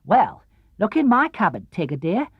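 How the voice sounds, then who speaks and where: A man voicing a kind, motherly female kangaroo in a children's storybook, gentle and coaxing. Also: high-pitched, wildly swooping pitch, moderate pace, husky, no echo, muffled recording, pitched above the performer's own voice, full character voice. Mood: kindly.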